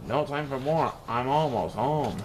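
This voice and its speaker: A man reading a rhyming children's storybook aloud in a theatrical, expressive way. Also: mid-range voice, a little slow, background noise. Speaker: storybook reader.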